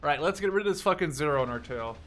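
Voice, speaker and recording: A young man with a bright, forceful, slightly high voice commentates on his own gameplay. He is excitable and casual, swears freely and talks to himself. There is clear noise behind his voice. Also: racing. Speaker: gaming YouTuber. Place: small room at home.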